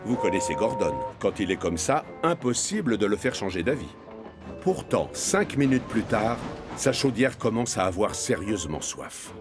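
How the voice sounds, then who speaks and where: A man narrating a children's cartoon from a script, as an expressive storyteller. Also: lowish voice, moderate pace. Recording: music behind the voice.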